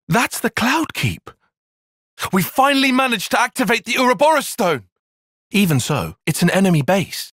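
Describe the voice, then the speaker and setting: An earnest young strategist for a video game cutscene. His phrasing is formal and exact, with animated pitch swings and a slightly husky edge. The recording is very dry, with no room around it. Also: no echo, full character voice. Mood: alarmed, indignant. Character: analytical, reserved young male military strategist, earnest and proper.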